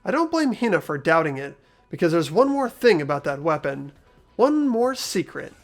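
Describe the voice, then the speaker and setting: A man's voice: fairly high pitch, very animated, moderate pace, faint music behind. A man voicing an earnest teenage boy who patiently reasons out a murder case, in a fan-voiced game. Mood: resolute. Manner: earnest, explanatory. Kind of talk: fan-voiced visual novel game acting.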